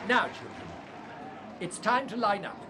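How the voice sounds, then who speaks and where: A man's voice: high-pitched, very animated, unhurried, a crowd in the background. An actor playing a kindly, slightly hesitant male schoolteacher looking after evacuee children in a radio play. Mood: encouraging. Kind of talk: radio drama acting. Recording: noisy crowded ambience behind voice.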